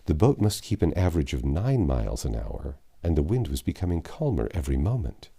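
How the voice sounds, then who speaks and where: A man with an extremely deep, mellow voice narrates a classic novel. His delivery is measured and dramatic, with lively swings in pitch, like an audiobook storyteller. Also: creaky, breathy edge.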